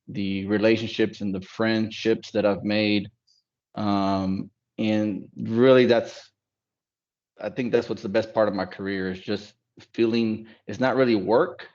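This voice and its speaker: A man from Dallas speaks on a video call with a low voice that stays level and even. He talks in a relaxed, reflective way, pausing often with fillers. The sound is dull and lo-fi, like compressed call audio. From Dallas, United States. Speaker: accounting firm partner. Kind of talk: casual panel talk on a video call.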